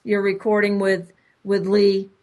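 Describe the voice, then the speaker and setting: A woman's voice: mid-range voice, monotone, a little slow, a little mellow. A woman hosting a radio talk show, chatting agreeably with her guest and often repeating words as she speaks. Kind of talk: casual radio interview chat.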